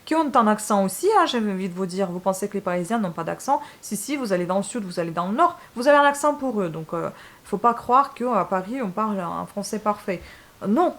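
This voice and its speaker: A woman's voice: medium pitch, animated, a little fast. A woman from Ukraine speaking French with a Ukrainian accent as a book channel host, talking straight to her viewers, frank and a little exasperated, with many fillers and restarts. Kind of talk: casual vlog monologue to viewers.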